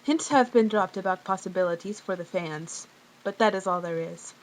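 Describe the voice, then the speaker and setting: A woman's voice: lowish voice, normal speed, a little hiss or hum, pitched below the performer's own voice, natural voice, poor audio. A woman voicing a stern, easily irritated female cat character in a fan-made cartoon question show. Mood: curt, weary.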